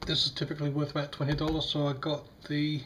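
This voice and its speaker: A man with a slightly croaky voice, demonstrating a device in a casual, hesitant way with many fillers. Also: a little high, level tone, fast, a bit bright, slight background noise. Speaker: tech unboxing vlogger. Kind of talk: casual product demo. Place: small indoor room at a table.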